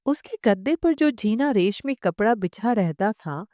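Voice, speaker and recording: Slow, expressive woman narrating a story. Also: medium pitch, dry recording, studio quality. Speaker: audiobook narrator. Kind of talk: scripted literary short-story narration.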